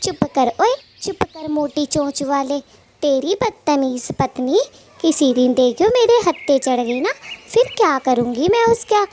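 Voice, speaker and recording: Woman as a high-pitched, bossy cartoon bird wife. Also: lively intonation, unhurried, darkish tone, slight background noise. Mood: angry, threatening. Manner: scolding, taunting, colloquial bickering. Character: bossy, quarrelsome female cartoon bird, greedy and threatening. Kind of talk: Hindi cartoon story dialogue.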